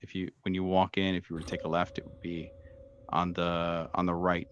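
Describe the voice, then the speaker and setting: A man working as game master, improvising descriptive narration for a horror tabletop game in a halting, hesitant way. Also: deep voice, monotone, lo-fi sound, slight background noise. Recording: music playing behind the voice. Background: soft background music.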